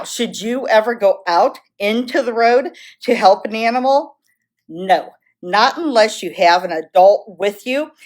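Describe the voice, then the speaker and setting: An American woman speaks as a zoo educator to young listeners. Her voice sits at a middle pitch for a woman. She explains things informally, in plain conversational terms that children can follow.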